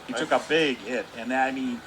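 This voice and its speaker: A man giving relaxed radio color commentary on a hockey game, trailing off into fillers as he talks. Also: medium pitch, normal speed, noisy background. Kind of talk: casual analysis. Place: hockey arena broadcast booth.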